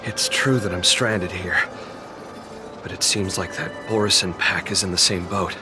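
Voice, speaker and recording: Man playing a stranded game warrior: tired but unbreakable idealist, earnest, measured delivery, under prominent music. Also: sits low, steady tone, natural voice. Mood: calm resolve. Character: stranded male warrior, weary, steadfast, idealistic resistance fighter. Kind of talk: dramatic video game voice acting.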